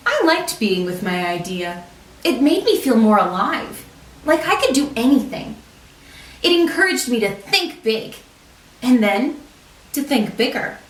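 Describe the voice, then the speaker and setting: Female storybook reader, highish voice with lively melody, deliberate children's read-aloud delivery, recorded in a roomy space. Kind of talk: read-aloud picture book narration. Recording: roomy echo, distant microphone.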